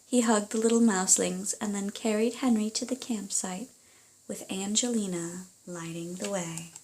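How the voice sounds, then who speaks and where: A woman reading aloud for children and parents, in an expressive, deliberate storytelling manner. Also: mid-range voice, a little hiss or hum, poor audio. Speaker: storybook reader.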